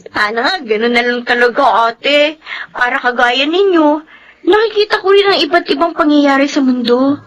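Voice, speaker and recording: A woman's voice: high-pitched, normal speed, fairly bright tone. A woman playing a sweet, playful blind younger sister in a Filipino radio drama, with a Filipino accent. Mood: playful, wistful.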